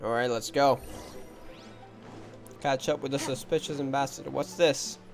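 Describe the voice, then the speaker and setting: A man with a mid-pitched voice gives casual gameplay commentary. He thinks aloud in short, offhand reactions, with faint music behind him. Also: steady tone, moderate pace. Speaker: gameplay streamer.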